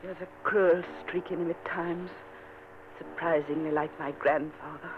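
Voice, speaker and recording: A woman's voice: low-pitched, steady tone, unhurried. An actress playing a dying, secretive noblewoman who sounds weak yet defiant, in vintage radio drama style. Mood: frail. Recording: tinny old radio recording with hiss.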